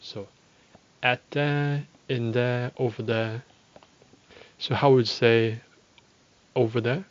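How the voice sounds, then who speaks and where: A man teaching a podcast language lesson, speaking slowly and deliberately and repeating phrases for learners. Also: medium pitch, fairly even intonation, no room sound, highs cut off.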